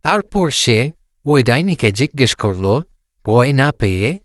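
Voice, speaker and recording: Casting: male fairy-tale narrator, unhurried, animated intonation, simple repetitive phrasing, very dry sound. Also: mid-range voice, no room sound. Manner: repeats phrases, simple unpolished storytelling. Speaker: storyteller.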